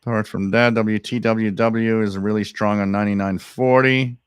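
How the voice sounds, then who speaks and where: A man hosting a live radio stream, thinking aloud in casual commentary, repeating himself and breaking into excited bursts over strange signals. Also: lowish voice, moderate pace, clean tone, no room sound, studio quality.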